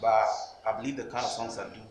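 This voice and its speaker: A man's voice: fairly low pitch, fairly even intonation, fast. A young man in his twenties with a Ghanaian English accent, a rapper answering interview questions earnestly, often restarting his phrases. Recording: echoey room with background noise.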